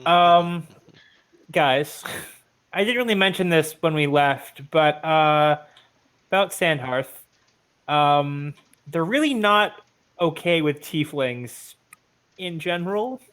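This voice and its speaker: A man playing an online tabletop game, talking casually in and out of character in a hesitant, halting way. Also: on the high side, a bit bright, smooth.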